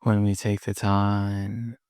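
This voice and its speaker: Low, slow, creaky male meditation guide. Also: flat delivery, darkish tone, no room sound, studio quality. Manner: slow, pausing, soothing delivery.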